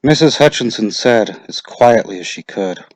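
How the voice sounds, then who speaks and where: A man's voice: on the low side, animated, normal speed, dry recording, rough recording. A man narrating a short story as a scripted dramatic reading.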